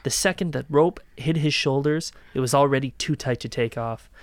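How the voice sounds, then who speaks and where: A man's voice: medium pitch, normal speed, dry recording, lightly acted. A man acting a cruel, gloating killer who coldly taunts his victim in a dramatic crime story reading. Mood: gloating, taunting.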